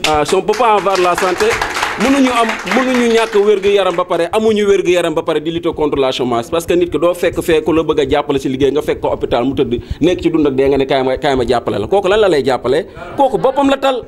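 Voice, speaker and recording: A man with a Senegalese accent speaks with conviction and a fairly high voice, hammering home each point and repeating himself for emphasis. He slips back and forth between Wolof and French. A faint background noise runs under his voice. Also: lively intonation, fast. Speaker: protesting villager. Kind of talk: impassioned statement to local press.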